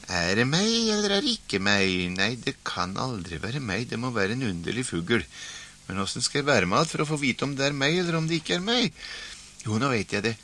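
A man's voice: medium pitch, very animated, pushed and bright. A man voicing a foolish farm wife in a folk tale who wonders aloud whether she is really herself. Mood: bewildered. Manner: bewildered, talking to herself.

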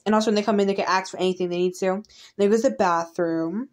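Fast-talking chatty woman YouTuber. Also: medium pitch, steady tone. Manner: chatty, rambling, restarts often. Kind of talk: casual game walkthrough narration. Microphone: phone filming a tablet screen.

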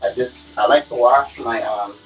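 A man's voice: mid-range voice, moderate pace, fairly bright tone, faint music behind. A young man talking casually through a home cooking demonstration, often saying "okay" and "guys" to his viewers. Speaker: cooking vlogger. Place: small home kitchen, indoors. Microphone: camera set on the counter, away from him. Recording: echoey kitchen, voice from a distance.

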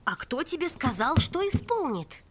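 High, sassy cartoon genie. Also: female voice, big pitch swings, normal speed. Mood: teasing. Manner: teasing, theatrical, lecturing like a teacher. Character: sassy female genie living in a teapot. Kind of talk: animated film voice acting. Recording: loud music behind the voice.

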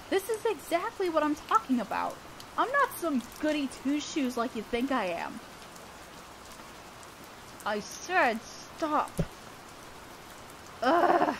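A woman acts out a flustered young female student council president in a romantic audio roleplay. Her voice is high and she speaks slowly, hesitant and earnest, tripping shyly over words. Noticeable ambient noise sits behind her. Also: a bit bright, full character voice, poor audio. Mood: exasperated, embarrassed.

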